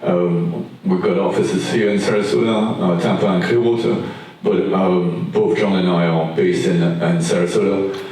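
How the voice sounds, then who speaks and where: A man with a Belgian French accent and French as his first language, speaking on an architecture panel with many pauses and ums. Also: deep voice, steady tone, darkish tone. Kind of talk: panel talk. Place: large event room. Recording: echoey room, distant mic.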